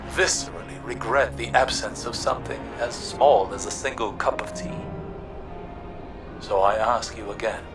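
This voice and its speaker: A man's voice: fairly low pitch, natural voice. Man playing a reflective old scholar, distant. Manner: measured, literary, gentle. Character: reflective, regretful older male scholar gently admonishing a colleague. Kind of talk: scripted video game dialogue.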